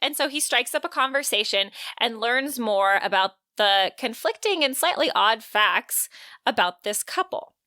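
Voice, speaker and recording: Woman, podcast co-host. Book discussion, crisp bright tone, very dry recording. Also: on the high side, animated, measured pace, dry recording. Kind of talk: book discussion with plot recap and read quotes.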